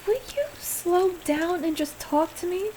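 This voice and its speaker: A woman playing a caring, hurt young friend in an intimate audio roleplay, speaking earnestly and haltingly to the listener. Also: extremely high pitch. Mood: exasperated, calling out. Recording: steady rain noise over the voice. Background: rain falling on surfaces.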